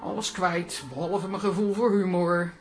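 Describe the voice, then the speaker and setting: An actress playing an older, hard-up aunt in a radio comedy drama, with hesitant, wry, self-pitying delivery. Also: female voice, on the low side, steady tone, normal speed, close to an everyday voice. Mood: wry, sorry. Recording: music behind the voice.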